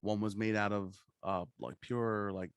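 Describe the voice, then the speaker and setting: A man's voice: fairly low pitch, steady tone, unhurried, clear, resonant tone, no echo. A man co-hosting a podcast, chatting casually in a profane, opinionated fan's banter. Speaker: podcast co-host. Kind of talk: casual pop-culture chat.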